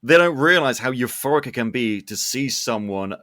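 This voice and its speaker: An enthusiastic man chats as a podcast host in a close, dry recording. His voice sits at an average pitch, and he talks casually, with emphatic bursts and a sweary streak. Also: lively intonation, moderate pace, fairly bright tone, no room sound. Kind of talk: casual chatty interview.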